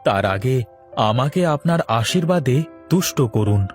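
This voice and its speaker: A man's voice: medium pitch, expressive, normal speed, soft background music, lightly acted. A man playing an earnest, respectful disciple addressing his guru in a cartoon. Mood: reverent. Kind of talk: cartoon story dialogue.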